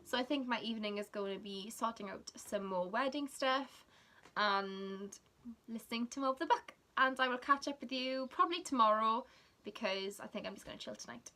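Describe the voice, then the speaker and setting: A woman's voice: on the high side, normal speed, sharp tone. A young woman from Wales with a Welsh accent, whose first language is Welsh, chatting casually in a rambling vlog with giggly asides. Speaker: vlogger.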